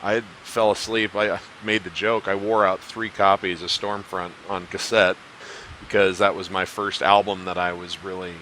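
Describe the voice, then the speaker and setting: A man with a low but bright, forceful voice asks long, winding questions with enthusiasm while hosting a casual conversation with a musician. A faint hiss runs under his speech. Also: flat delivery, normal speed, rough recording. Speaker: interview host, musician.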